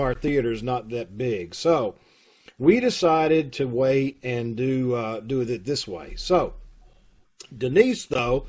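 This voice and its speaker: A man at an ordinary mid pitch talks over a video call, giving announcements for his theater troupe. His manner is plainspoken and folksy, laying things out step by step. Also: darkish tone, slightly rough. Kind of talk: casual announcements.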